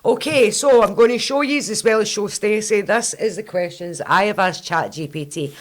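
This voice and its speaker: A woman in her thirties with a Scottish accent and a low husky voice, hosting a podcast and telling animated stories while swearing freely. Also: normal speed. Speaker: podcast host. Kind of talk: casual chat.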